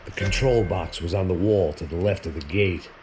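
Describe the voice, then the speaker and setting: An actor as a very deep, jaded action hero. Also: male voice, moderate pace, background noise, natural voice. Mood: brooding, weary. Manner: world-weary, deadpan. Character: jaded, hard-drinking middle-aged male action hero. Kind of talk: hardboiled noir voice-over narration.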